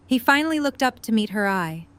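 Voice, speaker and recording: A woman's voice: on the high side, expressive, fairly quick, a bit bright. A woman narrator reading scripted story narration for an audio drama.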